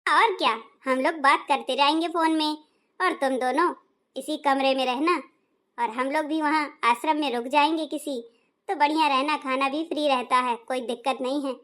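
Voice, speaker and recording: A woman's voice: high voice, fairly even intonation, moderate pace, a bit bright. A woman voicing a kind, agreeable elderly mother-in-law in a cartoon, speaking in a homely way. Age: older. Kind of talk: cartoon voice acting.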